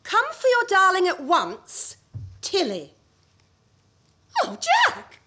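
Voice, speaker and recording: A woman playing a highly strung, easily wounded young wife in a comic radio play, earnest, plaintive and theatrical. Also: huge pitch swings, slow pace, bright, forceful tone, full character voice. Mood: wounded, indignant.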